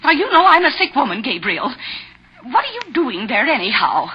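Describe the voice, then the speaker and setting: Fretful nagging wife, high, swooping intonation, accusing, melodramatic, 1940s radio drama, dull vintage recording. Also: female voice, huge pitch swings, moderate pace, slight background noise. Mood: scolding, irritated. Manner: plaintive, scolding, melodramatic. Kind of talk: 1940s radio horror acting.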